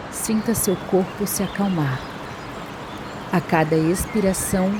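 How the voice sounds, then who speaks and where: A Brazilian woman narrating a scripted guided meditation in a soothing, measured, instructional manner. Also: mid-range voice. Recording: constant waterfall noise behind the voice. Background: waterfall and nature sounds.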